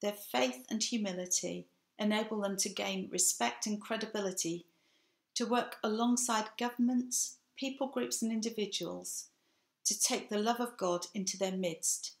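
A woman in her sixties with an English accent, giving a measured, earnest sermon from home to a webcam. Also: medium pitch, fairly even intonation, gravelly. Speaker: preacher. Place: home room with bookshelf, indoors. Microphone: webcam, seated facing camera. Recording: echoey room.

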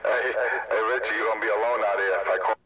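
A man's voice: on the low side, fairly even intonation, quick pace, a crowd in the background. A man goading and taunting others in casual trash talk over CB radio. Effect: CB radio transmission. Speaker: amateur CB radio operator. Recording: muffled, very noisy CB radio.

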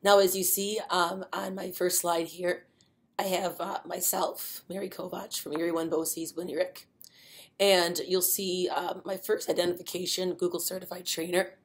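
Middle-aged woman, webinar trainer, low-ish voice, filler-heavy, relaxed explaining. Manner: many fillers, unhurried explaining. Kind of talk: informal instructional webinar.

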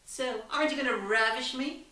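A woman's voice: sits high, normal speed, bright, forceful tone, pitched above the performer's own voice. A woman voicing a snarky, smug, bluntly flirtatious woman in a dramatised story reading. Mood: brazen, teasing. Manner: teasing, brazen, smirking. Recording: roomy, distant from the mic.

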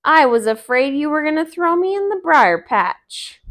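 A woman voicing a brash, tricky, hot-tempered male rabbit from a storybook, with loud, theatrical bluster. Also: high voice, big pitch swings, normal speed, pitched above the performer's own voice. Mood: mock pleading.

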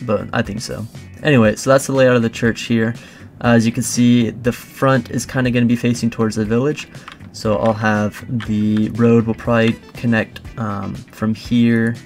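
Guy doing a chill lets play commentary, lowish voice, chatty, thinking out loud, faint music behind him. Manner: informal, chatty, thinking aloud. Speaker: host of a gaming let's play. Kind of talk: casual gameplay commentary, explaining a build.

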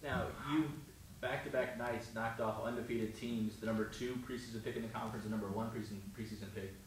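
A man's voice: on the low side, fairly even intonation, fast talker, distant mic. A man interviewing a coach after a match, asking upbeat, leading questions. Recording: very echoey room, off-mic, faint noise.

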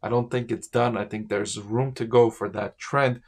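A middle-aged man talking casually to camera as a finance commentator from a home office, often checking in with the viewer with a quick "right?". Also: medium pitch, fairly even intonation. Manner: conversational, asks "right?" to the viewer.

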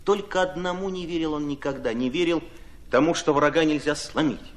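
An actor playing an earnest, ardent Red Army commissar in a radio drama, speaking in deliberate, impassioned phrases. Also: male voice, fairly high pitch, lightly acted. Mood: earnest admiration.

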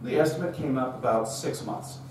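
A middle-aged man speaks to a live meetup audience in a relaxed, storytelling manner. His voice sounds distant and echoes heavily around the room. A faint hum sits underneath. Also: medium pitch, a little fast. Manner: conversational storytelling, acts out quoted dialogue. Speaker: conference speaker. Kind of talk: live technical talk. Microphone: room recording from far camera.

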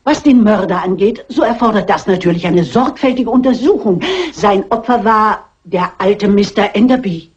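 A woman's voice: mid-range voice, normal speed, darkish tone, husky, natural voice. An actress playing a shrewd, self-assured elderly lady detective in a dubbed classic crime film, speaking precisely. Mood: insistent. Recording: dull vintage film soundtrack.

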